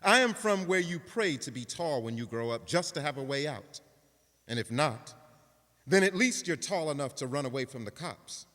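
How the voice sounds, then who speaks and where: A man's voice: fairly high pitch, expressive, normal speed, a bit bright. A man giving a dramatic reading of a poem as an actor. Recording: reverberant hall recording.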